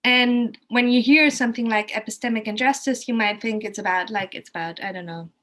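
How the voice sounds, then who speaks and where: A woman's voice: fairly high pitch, a little fast, a bit bright. German-accented woman, casual and rambling. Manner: rambling, thinking aloud with frequent rephrasing. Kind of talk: casual conversational storytelling.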